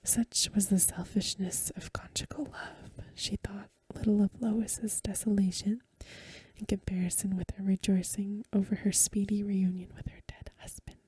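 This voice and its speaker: Woman doing asmr story reading, super breathy whisper, soft n gentle, close dry mic. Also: mid-range voice, level tone, no echo, rough recording. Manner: hushed, gentle, unhurried storytelling. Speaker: ASMR reader.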